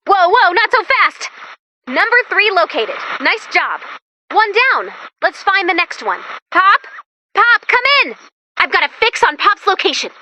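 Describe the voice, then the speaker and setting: A woman's voice: extremely high pitch, very animated, unhurried, sharp tone, exaggerated voice. A woman voicing an upbeat, quirky female robot assistant who guides the commander in a video game, with a chatty, theatrical, comic manner. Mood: concerned, focused.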